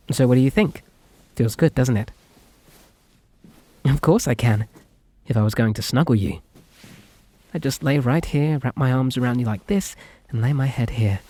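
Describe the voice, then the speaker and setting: A man acts out a lovestruck young British kidnapper in an ASMR roleplay. His voice is mellow and relaxed at a medium male pitch, recorded close and very dry. He rambles with earnest, timid politeness, sweet on the surface and possessive underneath. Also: a little slow, long pauses, dry recording. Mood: tender, eager. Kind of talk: intimate ASMR roleplay acting addressed to the listener.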